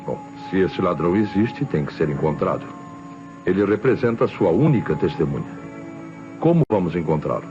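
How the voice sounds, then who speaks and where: Low-voiced Brazilian police inspector. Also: male voice, big pitch swings. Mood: probing, sceptical. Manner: deliberate, authoritative questioning. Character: calm, sceptical middle-aged male police inspector. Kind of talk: old Brazilian radio drama acting. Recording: dull vintage radio recording, faint music.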